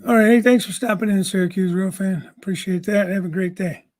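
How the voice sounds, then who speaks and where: A man with a raspy voice hosting a casual livestream from home, greeting viewers by name and chatting. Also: sits high, steady tone, fairly quick, darkish tone. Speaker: livestream host.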